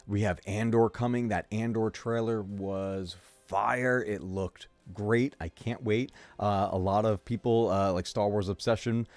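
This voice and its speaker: A man's voice: lowish voice, fairly even intonation, measured pace, few pauses, a little mellow, dry recording, faint music behind. A male gaming streamer chatting casually to his viewers, full of ums and uhs, with a sore throat and a fading voice. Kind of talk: casual live-stream chat.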